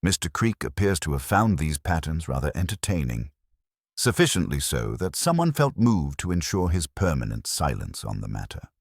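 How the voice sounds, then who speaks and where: A man's voice: a bass voice, sing-song, moderate pace, gravelly, no echo, clean studio sound, full character voice. A man voicing a mild, shrewd police inspector in a storybook mystery, understated and drily insinuating. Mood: mildly probing. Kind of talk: storybook mystery character reading.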